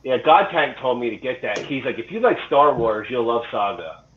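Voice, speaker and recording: A man's voice: mid-range voice, animated, normal speed, a loud crowd. A man in his forties in easygoing casual chat, asking the others questions. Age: 40s. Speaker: podcast co-host. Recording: tinny phone-like call audio.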